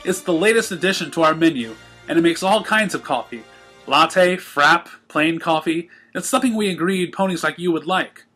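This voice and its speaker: A man reads the part of a mild-mannered husband pony who keeps a shop. The voice is a little high for a man, polite and proud as it explains. Quiet music plays behind the cartoon tale. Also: lively intonation, normal speed. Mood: boastful. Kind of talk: fan fiction story reading.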